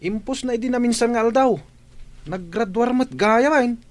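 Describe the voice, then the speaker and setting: A man playing a frank, preachy buddy in a radio drama, with an animated, admonishing manner. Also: very high voice, moderate pace. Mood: probing, animated. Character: frank, preachy male buddy giving moral advice.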